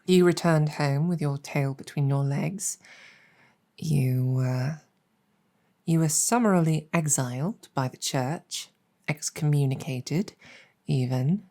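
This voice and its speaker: Female demon general, acted by a woman: deep-voiced, mellow, gracious and wry, soft-spoken ASMR roleplay. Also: slow. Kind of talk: improvised ASMR roleplay storytelling.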